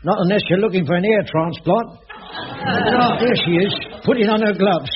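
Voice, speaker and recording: A man's voice: high voice, normal speed, over a noisy crowd, close to an everyday voice. A man playing a flustered caretaker. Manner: flustered, stammering, pompous phrasing. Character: fussy, put-upon caretaker, flustered schemer. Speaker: Ramsay, caretaker. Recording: dull old radio broadcast with faint hiss.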